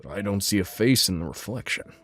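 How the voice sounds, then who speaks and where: A man tells a scripted first-person story as the narrator of a manga dub. His voice sits a little low, and music plays clearly behind him. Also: lively intonation, a little fast, fairly bright tone.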